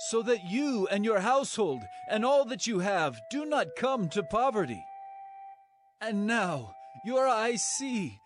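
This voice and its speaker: High-pitched, animated Joseph. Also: male voice. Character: Joseph, powerful Egyptian governor, earnest loving brother. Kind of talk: dramatized scripture audio acting. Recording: music behind the voice.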